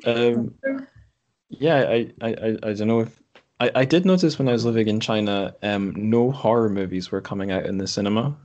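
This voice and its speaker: A man's voice: on the low side, moderate pace, darkish tone, muffled recording. A British man hosting a podcast, chatting casually in a tentative, musing way. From Britain. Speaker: podcast host. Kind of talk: casual group chat.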